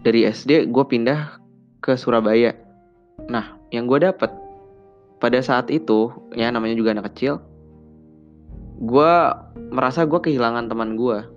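A young man from Bandung chats casually on a podcast episode, slangy and relaxed, now and then jumping higher to play out his childhood conversations. His voice sits at a middle pitch, and the audio is a bit dull. Soft music plays faintly underneath. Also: normal speed, pauses often. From Bandung, West Java, Indonesia. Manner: chatty Jakarta slang, acts out childhood dialogue in raised voices. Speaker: podcast host. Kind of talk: casual personal storytelling.

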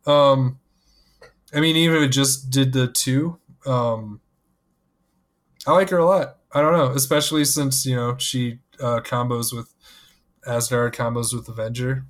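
A man's voice: mid-range voice, unhurried, fairly bright tone. Hesitant, enthusiastic man reviewing cards. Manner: hesitant, many fillers, enthusiastic. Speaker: podcast co-host. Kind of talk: casual card-game discussion.

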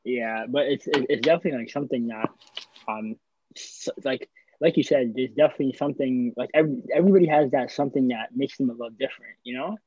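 A man's voice: mid-range voice, fast talker, a little mellow, husky, muffled recording. A man co-hosting a podcast in casual chat, talking loosely with many "like" and "you know" fillers. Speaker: podcast co-host.

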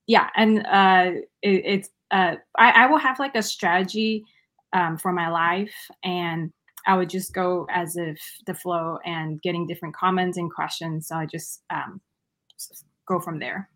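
A woman from Malaysia answers as a guest in a relaxed interview. She speaks with frequent fillers and restarts. Her voice is somewhat low for a woman. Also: a little slow. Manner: hesitant, many fillers and restarts.

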